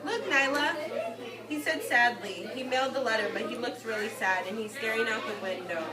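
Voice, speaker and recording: Female early-years teacher, high voice, expressive storybook read-aloud with simple explanations, picked up from afar in an echoey classroom. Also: moderate pace. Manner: expressive, explanatory, addressing small children. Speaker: teacher reading to young children. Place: indoor classroom with carpet. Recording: echoey room, distant mic, loud children's chatter. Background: loud chatter of young children.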